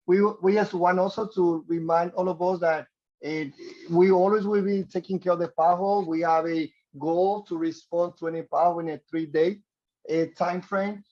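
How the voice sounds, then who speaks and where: A man from the Republic, a transportation official giving earnest, halting testimony at a council hearing. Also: high voice, darkish tone, dull audio. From Dominican Republic. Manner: earnest, halting, repeats words.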